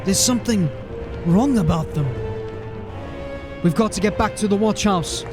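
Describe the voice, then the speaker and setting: A man's voice: high-pitched, a little slow, over music. A man playing a stern male city watch sergeant who questions people in a formal, suspicious way. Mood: alarmed. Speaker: police sergeant.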